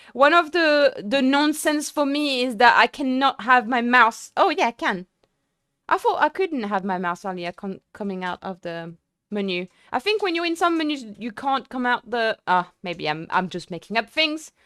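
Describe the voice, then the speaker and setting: Chatty, excitable young woman streamer. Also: on the high side, dry recording, studio quality. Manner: chatty, excitable, lots of fillers and restarts. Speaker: sim racing streamer. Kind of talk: casual live-stream chat.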